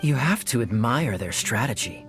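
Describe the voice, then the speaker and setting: A man playing a suave young adventurer in a video game, sly and playfully sardonic, with a smooth theatrical manner. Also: mid-range voice, sing-song, normal speed, close to an everyday voice. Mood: wry explaining. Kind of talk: video game cutscene acting. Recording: music playing behind the voice.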